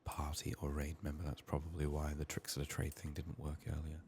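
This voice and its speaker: A man softly narrating his video game in an ASMR style, musing to himself in a hushed, slightly strained, under-the-weather voice. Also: rumbling low, monotone, brisk, slight background noise. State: sick, strained voice. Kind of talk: soft-spoken, partly whispered ASMR game commentary.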